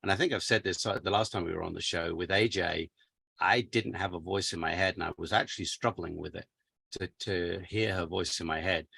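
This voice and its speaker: A man with an English accent, an author guest chatting casually on a podcast, relaxed and laughing easily. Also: low-pitched, brisk, creaky, breathy edge, dry recording. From England.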